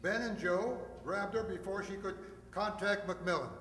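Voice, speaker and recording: An actor playing a cautious, authoritative police captain in a radio crime drama. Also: male voice, high-pitched, unhurried, fairly bright tone. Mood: brisk, cautious. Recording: echoey hall with faint noise.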